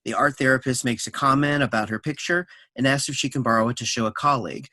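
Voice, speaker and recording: A man's voice: mid-range voice, bright, forceful tone, dry recording. A man narrating a staged reading, reading scripted scene descriptions and stage directions.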